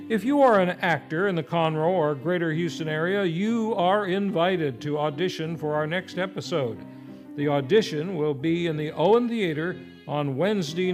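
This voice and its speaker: A male series host delivers a scripted vintage radio sign-off from a theater stage. His voice sits high, with formal, showy phrasing over clearly audible music. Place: theater stage, live broadcast. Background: live music.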